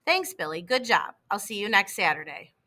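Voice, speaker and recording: A woman reading the part of a kindly, patient male teacher and coach in a storybook, gentle and measured. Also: medium pitch, sing-song. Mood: friendly.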